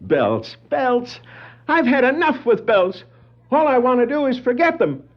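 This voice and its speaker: Cackling clown supervillain, wildly swooping voice. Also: male voice, very high-pitched, very animated, exaggerated voice. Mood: dismayed. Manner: gloating, exclamatory, drawn-out delivery. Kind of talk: 1960s children's record radio-play acting. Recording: dull, noisy 1960s vinyl record dramatization.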